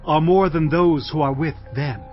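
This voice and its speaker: A man's voice: a little high, moderate pace, dull audio, faint music behind, lightly acted. An actor playing a stern old male prophet who speaks in solemn, commanding pronouncements, in a dramatized audio Bible.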